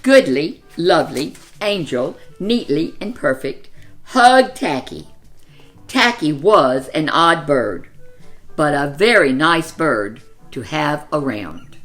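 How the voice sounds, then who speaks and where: A woman reading a children's picture book aloud as a storyteller, with expressive, deliberate, dramatic phrasing. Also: sits low, faint music behind.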